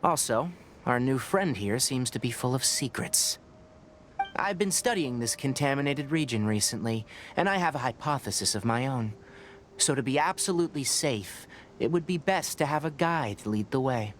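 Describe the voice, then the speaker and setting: An actor playing a calm, scholarly young forest ranger in a video game, measured and patient as he explains. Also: mid-range voice, slight background noise, lightly acted. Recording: game audio with clear ambience.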